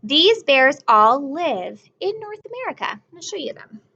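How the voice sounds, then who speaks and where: A woman's voice: sits high, big pitch swings, moderate pace, sharp tone, highs cut off. A woman teacher reading a picture book aloud to young children, stopping often to ask them questions and praise them. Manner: encouraging, asks children questions.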